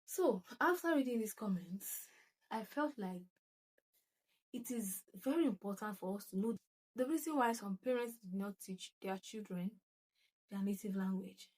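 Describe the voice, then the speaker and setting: A woman's voice: medium pitch, lively intonation, moderate pace, darkish tone, poor audio. Young nigerian woman talking to her channel, reads out comments, chatty, restarts a lot, yoruba is her first tongue. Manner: chatty and reflective, with many restarts and bursts of laughter. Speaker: channel host. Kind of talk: casual talk to camera, reading comments.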